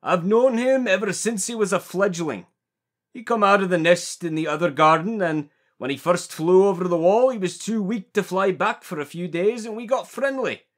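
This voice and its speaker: A man playing a surly old gardener who is gruff with people but fond of birds, speaking in rustic old-fashioned dialect. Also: high voice, moderate pace. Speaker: actor in an audio drama. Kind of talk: amateur audio drama acting.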